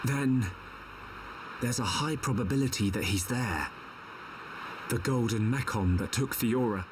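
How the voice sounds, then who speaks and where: A man playing a thoughtful young hero in a video game, earnest and deliberate. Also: mid-range voice, recorded from a distance, noisy background, close to an everyday voice. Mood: grave. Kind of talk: video game cutscene acting. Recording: game music behind the voice.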